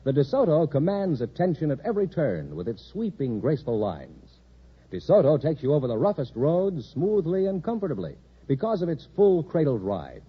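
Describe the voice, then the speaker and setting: An American man reads a mid-century radio advertisement with polished emphasis. His voice is very husky and swings widely in pitch. The recording is slightly muffled, like an old broadcast. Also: sing-song, moderate pace. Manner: polished, emphatic sales delivery. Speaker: commercial announcer. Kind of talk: 1950s radio commercial announcing, scripted.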